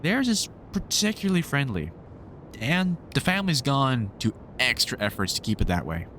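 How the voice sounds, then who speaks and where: Male actor as vampire hunter mentor for audio roleplay: wry, sweary, protective, very animated intonation, slight background rumble. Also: normal speed. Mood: explaining fondly. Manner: wry, sweary, exasperated but fond banter. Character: gruff, sardonic British vampire hunter, protective, teasing mentor. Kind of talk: roleplay audio drama addressed to the listener.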